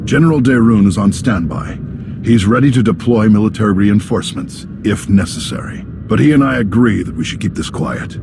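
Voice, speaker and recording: An actor playing a composed senior Jedi master in a video game, measured, formal and courteous. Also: male voice, fairly low pitch, animated, gravelly, noisy background. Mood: resolute. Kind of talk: scripted video game voice acting. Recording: loud music under the voice.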